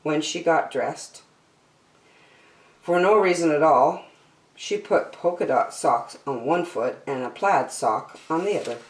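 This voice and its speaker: Female storytime host: lowish voice, very animated, measured pace, reading to children in a roomy space. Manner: expressive, addresses listeners as friends. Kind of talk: children's picture-book read-aloud. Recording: roomy indoor echo.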